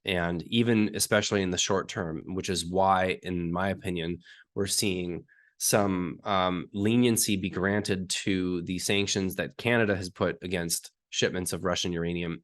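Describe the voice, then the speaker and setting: A man with a hoarse, raspy voice from being sick, explaining technical detail step by step as an interview guest and often clearing his throat. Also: sits low, a bit bright. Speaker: uranium market analyst guest.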